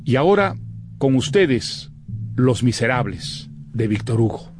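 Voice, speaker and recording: A man presenting a novel in scripted literary commentary, measured, formal and eloquent. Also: mid-range voice, big pitch swings, a little mellow, slight background noise.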